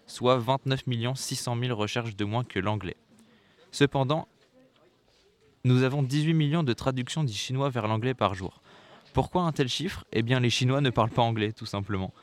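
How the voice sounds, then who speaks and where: A young man reading a prepared school report aloud, with rhetorical asides and occasional self-corrections. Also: mid-range voice. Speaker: student presenter.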